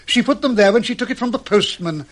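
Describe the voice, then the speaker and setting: A man's voice: high voice, quick pace. A man playing a pompous, self-assured doctor detective in an old-fashioned radio mystery, speaking in precise, formal, pedantic phrases. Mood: smug.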